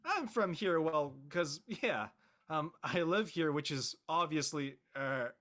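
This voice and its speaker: A man's voice: on the high side, lively intonation, slow pace, fairly bright tone. A man playing an awkward, easily embarrassed teenage boy with a nervous, stammering delivery in a casual audio drama. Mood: nervous, eager.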